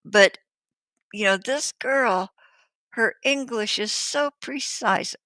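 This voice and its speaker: A woman co-host in a casual reaction chat, amused and chatty, with drawn-out asides. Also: on the high side, pushed and bright, no room sound, poor audio.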